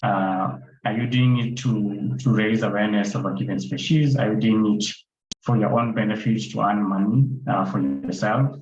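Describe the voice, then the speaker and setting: A man on a panel giving conversational advice in a deliberate way, posing rhetorical questions as he goes. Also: fairly low pitch, fairly even intonation, darkish tone. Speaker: panelist, content creator. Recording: echoey, dull, faintly noisy online call.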